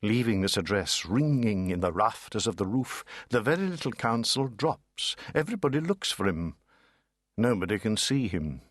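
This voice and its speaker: Man, literary audiobook narrator: theatrical, deliberate, widely inflected, dry studio sound. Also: on the low side, big pitch swings, no echo.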